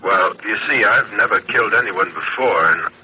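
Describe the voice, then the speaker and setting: An actor playing a nervous, earnest man who phones the police, husky, in an old radio crime drama. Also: lowish voice, normal speed, bright, forceful tone, slight background noise, poor audio. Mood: timid, hesitant. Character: nervous, earnest male caller confessing to police. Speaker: telephone caller confessing to a crime. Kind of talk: old-time radio detective drama acting.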